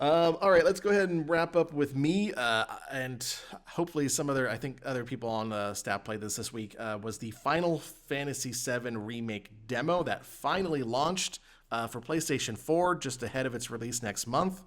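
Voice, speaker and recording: A man with an average-pitched voice hosts a casual gaming discussion. He explains things in a loose, halting way, wandering through his thoughts as he goes. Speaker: podcast host.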